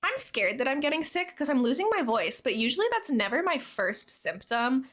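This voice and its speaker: A woman's voice: high-pitched, big pitch swings, normal speed, a bit bright. A young woman livestreamer chatting casually with her viewers in a bubbly, talkative way. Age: young adult. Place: live game stream.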